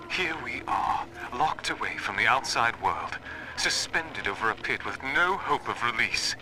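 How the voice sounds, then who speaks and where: Distant, bitter male prisoner, noisy recording. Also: lowish voice. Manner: eloquent, embittered. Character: bitter, despairing political prisoner. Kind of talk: video game audio diary monologue.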